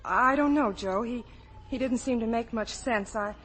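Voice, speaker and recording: An actress playing a guarded, worried young woman with gentle, hesitant delivery in a 1950s radio drama. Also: on the high side, normal speed, slight background noise, close to an everyday voice. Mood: uneasy, evasive. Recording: vintage radio broadcast with faint music.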